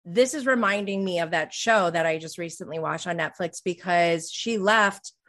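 A woman's voice: on the low side, normal speed, fairly bright tone. A woman of about forty co-hosting a casual reality TV recap, gossipy and prone to rambling tangents. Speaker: podcast co-host.

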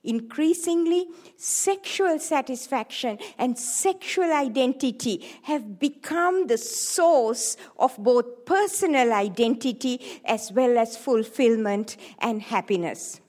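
High-voiced Sri Lankan woman in her seventies. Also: slow pace. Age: 70s. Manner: joking, lively, playful asides to the audience. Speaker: seminar speaker, sex therapist. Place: hall with a live audience.

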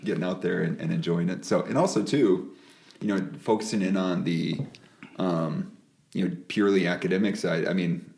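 A man's voice: low-pitched, steady tone, normal speed, vocal fry, a little mellow. A man hosting a podcast in a casual, conversational way, with informal, enthusiastic affirmations. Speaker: podcast host.

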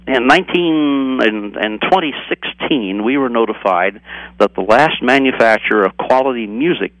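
An older American man giving halting, step-by-step explanations as a guest in an informal interview. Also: lowish voice, a bit bright, no echo, low-quality recording. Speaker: cassette manufacturer being interviewed.

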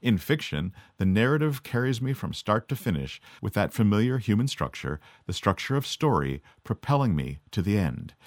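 A man's voice: lowish voice, big pitch swings, moderate pace, few pauses, dry recording. A middle-aged man from Canada delivering a sardonic, scripted monologue as podcast host. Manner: sardonic, dry.

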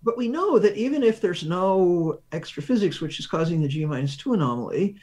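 A man with a fairly high voice gives a science explanation as an interview guest. He thinks aloud, setting up questions and then working through them with examples. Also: animated, brisk, darkish tone. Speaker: research professor, interview guest.

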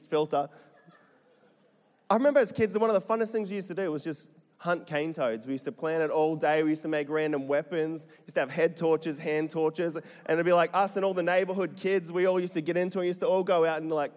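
A middle-aged Australian pastor with a slightly high voice preaches to his church. He jokes and tosses questions to the congregation. His voice rings with a long reverb through the hall. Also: darkish tone. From Central Queensland, Australia. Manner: chatty, jokey, asks the crowd questions. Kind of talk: sermon to a live congregation.

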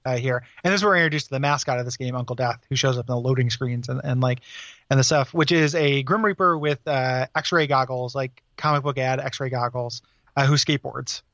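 A man's voice: mid-range voice, fairly quick, fairly bright tone, no echo, lo-fi sound. A man hosting a casual game podcast, rambling with lots of fillers and frequent laughs. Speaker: podcast host.

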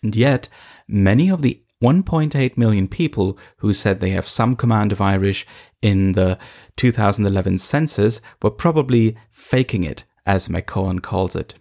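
A man originally from eastern Germany narrates a radio-style feature in a measured, scripted delivery. His voice sits on the lower side, and he paces his storytelling evenly. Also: expressive, gravelly, dark tone. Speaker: reporter and narrator.

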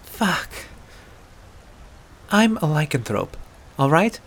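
A man's voice: fairly high pitch, sing-song, slow, pitched above the performer's own voice, heavily acted. A man voicing a fierce, brash, exasperated werewolf huntress in a dramatic fantasy story, given to swearing and stammering outbursts. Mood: agitated, reluctant. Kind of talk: dramatic fantasy audiobook character voice.

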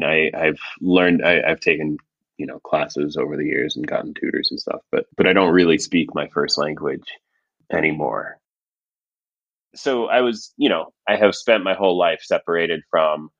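A man's voice: deep voice, fairly bright tone, muffled recording. A man from Iran, a poet guest, talking in an earnest, thoughtful way with lots of fillers. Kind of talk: reflective conversation.

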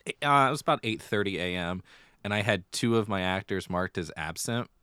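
An American man with a low, smooth voice talks casually as a podcast co-host. His delivery is wry, and the close recording has very little room sound. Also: lively intonation, a little fast, pushed and bright, no echo. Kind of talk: casual theatre news chat.

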